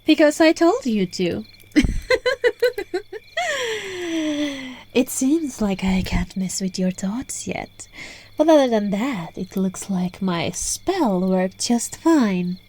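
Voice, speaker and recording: Woman playing a slow, seductive, controlling witch. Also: expressive, dark tone, slight background noise. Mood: amused, menacing. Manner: slow, coaxing, breaking into whispers and giggles. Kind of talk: ASMR roleplay. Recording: phone microphone recording, low quality.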